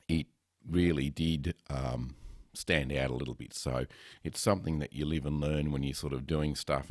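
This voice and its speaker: A man with a very deep, loud and resonant voice talks casually about his recording equipment. He rambles, filling pauses with ums and correcting himself. The sound is dry and close, with no room echo. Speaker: podcaster. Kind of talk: casual gear talk to camera. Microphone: headset microphone close up.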